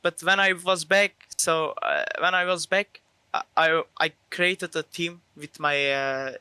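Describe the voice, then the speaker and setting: Turkish teen guy in an interview, second-language english, higher crisp voice, sure of himself, dry sound. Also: fairly even intonation, unhurried, pushed and bright, dry recording. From Turkey. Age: teens. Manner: self-assured. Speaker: esports player being interviewed. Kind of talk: casual interview answers.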